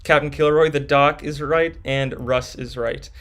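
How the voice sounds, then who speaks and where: A man's voice: fairly high pitch, fairly even intonation, a little slow, fairly bright tone. A young man hosting a live stream, reading viewers' questions from chat and answering them casually.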